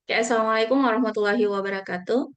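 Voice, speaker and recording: A woman English teacher with an Indonesian accent, whose first language is Bugis, gives a measured presentation with frequent fillers and self-corrections. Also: on the high side, steady tone. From Indonesia (South Sulawesi). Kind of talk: online speaking-practice presentation.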